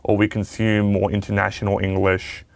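Low, gravelly-voiced man chatting. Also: completely flat delivery, normal speed, no echo. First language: Mandarin. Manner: chatty, swearing, many fillers and restarts. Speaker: podcast co-host. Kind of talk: casual chat.

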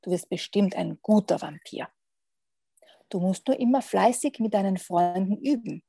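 A doting storybook vampire mother, read by a woman. She coaxes and reassures with pride in a mellow, husky voice. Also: mid-range voice, normal speed, no room sound, studio quality. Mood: encouraging. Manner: coaxing, reassuring.

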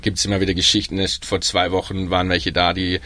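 A man's voice: deep voice, brisk, no room sound. A young man with a Baden German accent, chatting casually on a panel with frequent ums. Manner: relaxed, chatty, many ums. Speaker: guest, pub worker in Melbourne.

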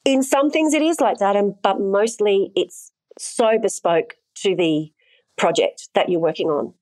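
A middle-aged woman speaking as an intimacy coordinator in an interview. She explains things thoughtfully and at length, with frequent fillers, in a voice of ordinary pitch. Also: measured pace, a little mellow. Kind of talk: interview answers.

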